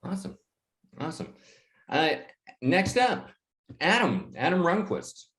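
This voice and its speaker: A man's voice: fairly high pitch, sing-song, fairly bright tone. Upbeat male host, roomy sound. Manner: upbeat, quick transitions. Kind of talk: event hosting.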